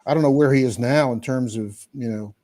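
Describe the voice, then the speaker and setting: A man co-hosting a casual political chat, talking in a halting way with many fillers. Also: mid-range voice, warm tone. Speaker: co-host.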